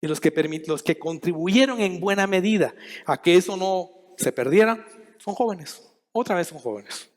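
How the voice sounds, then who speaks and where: Man, middle-aged, from Guatemala, conference speaker, measured, restarts, echoing hall. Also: high voice, expressive. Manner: measured, thinking aloud with many restarts and fillers. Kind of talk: conference talk. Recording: long hall reverb.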